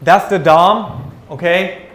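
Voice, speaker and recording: A man's voice: high voice, takes it slowly, fairly bright tone. A male university lecturer teaching a class, teasing students and calling on them by name. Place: lecture room. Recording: echoey lecture room.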